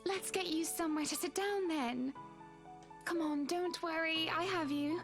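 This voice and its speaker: A well-to-do wife with a strikingly high voice. She is solicitous and a little fussy, gently chiding as a video game character. Music plays under her lines. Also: unhurried. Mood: concerned. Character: concerned, fussy well-to-do wife hiring help. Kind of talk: visual novel voice acting.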